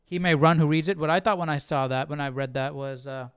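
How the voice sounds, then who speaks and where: A man's voice: on the high side, flat delivery, quick pace, clean tone, dry recording, clean studio sound. A man hosting a casual Bible study, reading aloud and explaining in an informal way, stumbling and correcting himself. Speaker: Bible study livestream host.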